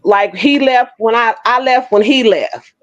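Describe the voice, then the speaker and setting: A woman in her fifties chatting frankly on an online panel, telling her own stories with lively, blunt humor. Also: on the high side, unhurried, lo-fi sound. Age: 50s. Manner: frank, animated storytelling with Southern-inflected slang. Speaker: panel co-host. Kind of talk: casual chat. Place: Oklahoma City.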